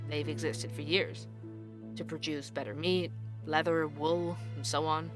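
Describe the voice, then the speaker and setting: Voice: medium pitch, a bit bright, close to an everyday voice. Wry investigative radio host for audio drama, acted, sardonic and conversational, music underneath. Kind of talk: audio drama radio show monologue and interview.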